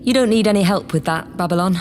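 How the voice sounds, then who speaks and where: A woman's voice: mid-range voice, fast, a little mellow, a little hiss or hum, natural voice. A woman playing an imperious, scheming acting leader of a spaceship in a sci-fi comedy audio drama, commanding, condescending and sarcastic. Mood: smug, grandiose.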